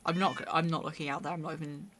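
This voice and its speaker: A young woman with a hoarse, raspy voice, chatting casually and rambling while streaming a game. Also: low-pitched, steady tone, racing, noisy background. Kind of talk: casual chat while gaming.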